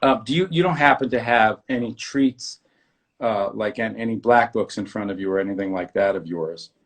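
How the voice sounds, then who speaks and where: A man's voice: on the low side, normal speed. A man hosting an interview, talking enthusiastically and heaping praise on his guest. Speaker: host. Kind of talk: casual interview.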